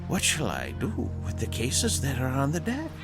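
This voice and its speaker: An actor playing a polite luxury yacht captain in a radio drama, formal, servile and precise. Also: male voice, medium pitch, huge pitch swings, moderate pace, vocal fry, loud music behind the voice. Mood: deferential, unruffled.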